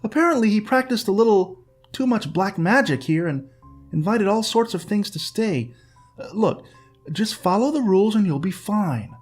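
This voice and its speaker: A man voicing a brisk, capable woman housekeeper who speaks firmly and matter-of-factly. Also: high-pitched, animated, faint music behind, pitched above the performer's own voice. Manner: firm, matter-of-fact.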